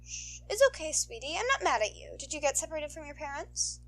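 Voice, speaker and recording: A woman voicing a playful adult superheroine with a soft, honeyed manner and quiet authority, kind to children, in a storybook reading. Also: sits high, very animated, brisk, voice pushed up, poor audio. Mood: soothing.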